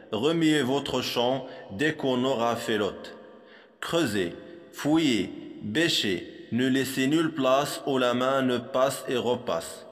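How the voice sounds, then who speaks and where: Man, poetry recitation, slow pace, didactic, medium pitch, long echoing reverb. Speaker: poem reciter for a primary school lesson.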